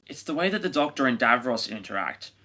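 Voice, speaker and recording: A man with a bright, forward voice chats informally as a podcast co-host. He works through his points haltingly, thinking out loud in an analytical review discussion. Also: medium pitch, very animated. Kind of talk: casual review chat.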